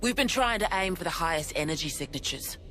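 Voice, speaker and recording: An actress playing a commanding, resolute and wry woman who leads a city in a video game cutscene, speaking with crisp authority. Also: lowish voice, lively intonation, quick pace, pushed and bright, soft background music. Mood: wry, composed. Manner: authoritative, crisp military orders.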